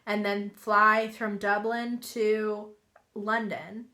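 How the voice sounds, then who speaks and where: A woman's voice: mid-range voice, little pitch movement, slow, sharp tone. A young woman from Washington, D.C. with an American accent, chatting as a podcast guest in an easygoing way and laughing along. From Washington, D.C., United States. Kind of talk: casual chat.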